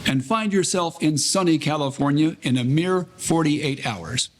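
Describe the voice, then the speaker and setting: Man acting as vintage radio pitchman, formal, promotional, higher male voice, noisy background. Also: expressive, measured pace. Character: 1940s radio sponsor announcer, polished salesman. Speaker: radio announcer. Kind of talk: old-time radio advertisement reading.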